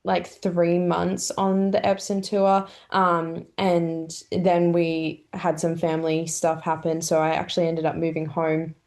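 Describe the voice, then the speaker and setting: A young Australian woman in her twenties, a golfer, answering interview questions in a relaxed, chatty way with lots of "um" fillers. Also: on the low side, a little slow, few pauses. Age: 20s. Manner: chatty, many "um" fillers, laughs often. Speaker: pro golfer guest.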